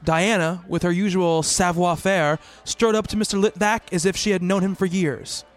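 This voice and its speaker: Casting: male actor as bookish, devoted gentleman doctor, high-pitched voice, measured storyteller, radio drama over music. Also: fairly even intonation, close to an everyday voice. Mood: wistful narrating. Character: earnest, bookish male doctor, devoted fiancé narrating his tale. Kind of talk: radio play narration and dialogue.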